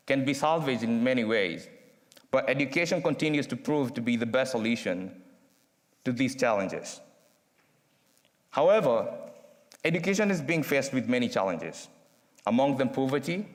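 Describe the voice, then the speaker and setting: A young man from Kenya with a Kenyan accent, giving an earnest, measured student speech with dramatic pauses. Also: mid-range voice. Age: young adult. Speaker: student speaker. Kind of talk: prepared speech read aloud. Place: university chapel. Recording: long reverb from a large chapel.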